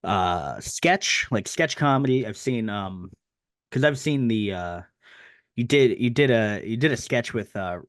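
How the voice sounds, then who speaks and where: A man's voice: fairly low pitch, expressive, unhurried, no echo, clean studio sound. A young man hosting a podcast, asking questions in a hesitant way with many fillers and frequent laughs. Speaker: podcast host. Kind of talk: casual interview.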